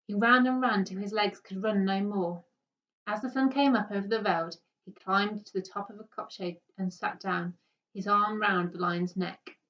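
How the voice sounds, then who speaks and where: A woman reading a children's story aloud with expressive narration. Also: medium pitch. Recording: dull, distant microphone.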